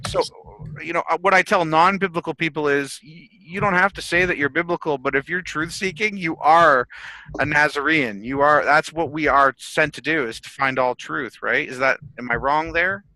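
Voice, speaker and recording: A man's voice: on the high side, normal speed, sharp tone, rough recording. A man on a group video call who talks intensely and with firm opinions, stumbling over restarts.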